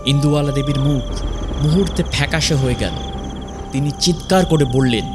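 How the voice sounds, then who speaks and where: A man narrating a scripted horror story dramatically, in measured, suspenseful phrases with pauses. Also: on the high side, over music.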